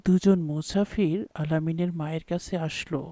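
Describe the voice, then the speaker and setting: A woman voicing a kindly, mysterious old man who tells stories in a gentle, measured way in a cartoon. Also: sits low, steady tone, no echo, natural voice. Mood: storytelling. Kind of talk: cartoon storytelling.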